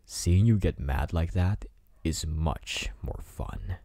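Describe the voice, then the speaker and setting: Man playing a smug, low-voiced delinquent bad boy. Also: animated, gravelly, mellow, dry recording, exaggerated voice. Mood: smug, teasing. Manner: taunting, smug, drawn-out teasing delivery. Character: cocky teasing teenage delinquent bad boy student. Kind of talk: ASMR roleplay.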